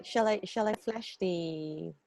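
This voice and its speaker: A woman's voice: medium pitch, slow pace, gravelly, a little mellow, no room sound. A woman talking informally as a co-presenter in an online meeting. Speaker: co-presenter sharing slides. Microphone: video call microphone.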